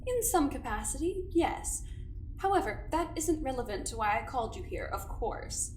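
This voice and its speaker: A woman's voice: high-pitched, very animated, moderate pace, a little mellow, roomy sound, slight background noise. A woman playing a coolly polite, imperious corporate doctor in an audio drama, speaking in formal, precise, condescending sentences. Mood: politely probing. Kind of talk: audio drama acting, dictated message and interrogation.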